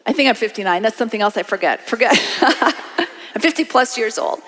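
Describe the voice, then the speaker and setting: High-voiced woman preacher in her fifties. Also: brisk. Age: 50s. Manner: animated, conversational preaching with congregation call-outs. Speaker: pastor. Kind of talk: sermon. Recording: long hall reverb.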